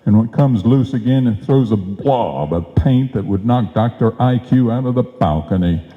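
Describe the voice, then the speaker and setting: A man's voice: medium pitch, animated, moderate pace, soft background music, natural voice. A man playing a blustering, folksy husband and tall-tale-telling bumbler in an old-time radio comedy, with slangy, exclamatory talk. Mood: exasperated. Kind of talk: old-time radio comedy acting before a live audience. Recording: dull, echoey live hall recording.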